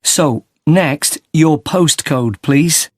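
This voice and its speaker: A man's voice: fairly high pitch, drawn-out words, dry recording, clean studio sound. Polite male survey interviewer, acted by a man. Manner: polite, clear questioning. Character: polite, sympathetic street interviewer doing a transport survey. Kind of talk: scripted everyday dialogue for a listening test.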